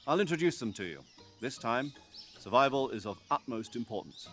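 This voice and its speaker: An actor playing a commanding middle-aged military officer giving a formal, instructive radio briefing in a video game. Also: male voice, medium pitch, expressive, fairly bright tone, faint music behind, natural voice. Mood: calm, formal. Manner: measured, authoritative, instructive. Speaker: mission commander.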